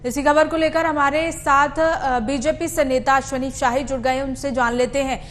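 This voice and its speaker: A woman presents the news in a high-pitched voice. Her delivery is emphatic, with phrases repeated for weight. A little noise sits behind her voice. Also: fairly even intonation, moderate pace. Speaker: news anchor. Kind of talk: scripted news narration and live interview.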